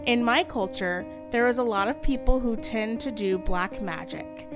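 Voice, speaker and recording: A woman's voice: fairly high pitch, moderate pace, over music. A woman co-hosting a podcast, chatting casually and reading out stories. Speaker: podcast co-host telling stories.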